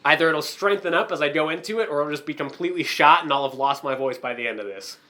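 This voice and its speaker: A man's voice: on the high side, fast, sharp tone. A man co-hosting a comic-book podcast, talking casually and recapping stories while getting over a cold that makes his voice crack. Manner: joking, recaps plots in a loose rambling way. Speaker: podcast co-host.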